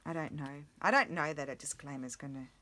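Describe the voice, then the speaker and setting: Croaky, rambling woman chatting while crafting. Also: low-pitched, fast talker, sharp tone. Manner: rambling, self-interrupting, thinking aloud. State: slightly croaky morning voice. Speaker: craft vlogger. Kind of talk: casual crafting chat.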